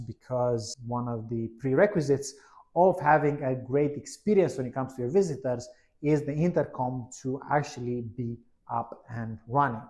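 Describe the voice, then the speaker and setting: A man's voice: mid-range voice, warm tone. Man presenting a conversational product walkthrough. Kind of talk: conversational product demo narration.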